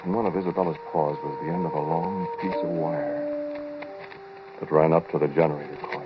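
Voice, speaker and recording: An American actor playing a shaken, brooding inventor who tells his story hesitantly and deliberately in 1940s radio drama style. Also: extremely low pitch, level tone, over loud music. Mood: brooding, uneasy. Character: shaken, brooding male inventor recounting his time-machine experiment. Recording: muffled, noisy 1940s radio recording.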